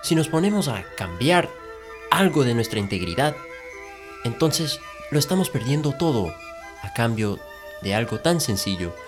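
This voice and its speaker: A man's voice: medium pitch, big pitch swings, normal speed, over music. A man co-hosting, earnestly explaining the moral of a fable with some restarts. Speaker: co-host.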